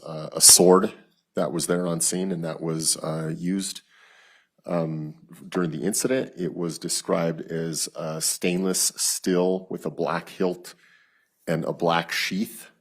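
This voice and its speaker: American male police witness, very low and husky, formal and halting on the stand. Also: little pitch movement. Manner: halting, formal, matter-of-fact. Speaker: police detective testifying as a witness. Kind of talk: courtroom testimony. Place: courtroom.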